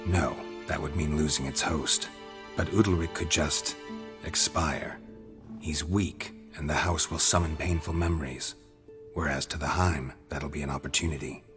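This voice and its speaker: An actor playing a grizzled monster hunter who talks in terse, clipped, matter-of-fact sentences. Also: male voice, rumbling low, fairly even intonation, moderate pace, over music. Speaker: game protagonist.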